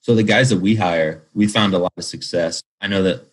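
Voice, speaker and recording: A man's voice: sits low, fairly even intonation, brisk, low-quality recording. A man in his twenties talking casually and candidly as an interview guest, with informal, slangy phrasing full of "like". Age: 20s. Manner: informal slangy speech peppered with "like". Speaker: podcast guest, young entrepreneur.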